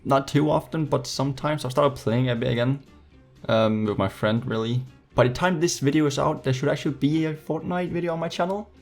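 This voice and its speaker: A man's voice: medium pitch, brisk, vocal fry, faint music behind. An eighteen-year-old man from Denmark with a Danish accent, chatting casually and jokily to viewers as a YouTuber. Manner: jokey, slangy, playfully sarcastic. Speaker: YouTuber hosting a Q&A.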